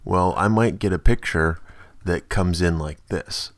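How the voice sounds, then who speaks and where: Guy w a low voice doing a casual screen tutorial, explainy, dry close mic voiceover. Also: unhurried, dry recording. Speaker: tutorial host. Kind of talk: informal software tutorial narration.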